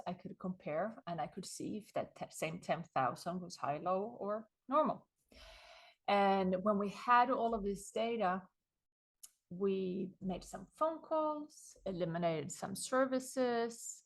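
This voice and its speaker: A woman's voice: medium pitch, a little slow. Swedish-accented woman telling her story. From Sweden. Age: middle-aged. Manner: animated storytelling, chuckles often. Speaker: guest author. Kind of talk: conversational interview.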